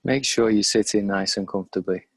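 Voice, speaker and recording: Male meditation guide: calm and slow, low register, little melodic movement, close dry sound. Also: husky, no echo. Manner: calm, unhurried, measured phrases.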